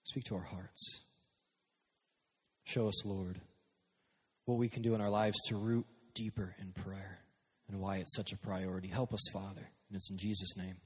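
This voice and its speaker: A man preaching a casual, energetic sermon to young people on a stage microphone, dropping to quiet prayer at times. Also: sits low, moderate pace, pauses often, slightly rough, long reverb tail. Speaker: youth pastor. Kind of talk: youth sermon. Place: church hall with a live sound system.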